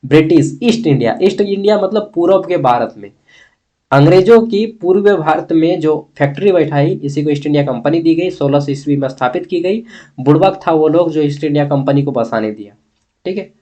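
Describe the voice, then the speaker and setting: Blunt Bihar-Jharkhand man teaching, higher-pitched voice. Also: brisk, warm tone. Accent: eastern Indian, Bihar-Jharkhand. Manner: blunt, opinionated, repeatedly checking with listeners. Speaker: history teacher. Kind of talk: informal exam-review lesson.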